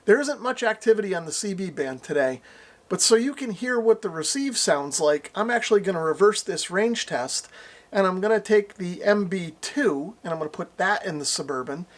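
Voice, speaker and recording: High-pitched middle-aged American man reviewing gear. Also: expressive, normal speed. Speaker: radio reviewer. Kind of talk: casual product review to camera. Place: small indoor workshop at a radio bench.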